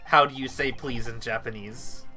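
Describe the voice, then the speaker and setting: A man's voice: mid-range voice, fairly even intonation, normal speed, over music. A man chatting casually and jokingly with friends while playing a video game.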